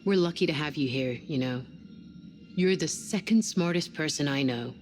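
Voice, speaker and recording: A woman's voice: deep voice, normal speed, faint music behind, lightly acted. An actress playing a wry, blunt but caring woman on a space crew, in casual cinematic game dialogue. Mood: teasing. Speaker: video game character, spacecraft crew member.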